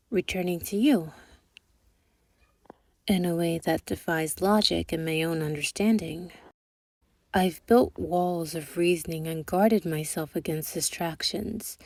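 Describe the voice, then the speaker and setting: A young woman voicing a calculating female thief boss in an ASMR roleplay. She speaks softly and formally, dropping into whispers. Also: lowish voice, creaky, no echo. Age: young adult. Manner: measured, precise, formal scolding.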